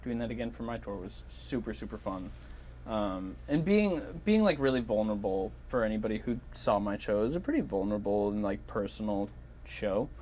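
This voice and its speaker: A man chatting casually in a halting, informal way, often trailing off as he thinks. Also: fairly low pitch, lively intonation, warm tone, a little hiss or hum. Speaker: online video creator and performer. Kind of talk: casual podcast chat.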